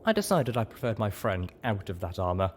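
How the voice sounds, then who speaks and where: A man with a deep voice narrates a story for an audiobook. He reads from a script, and the recording carries a little noise. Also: sing-song, brisk.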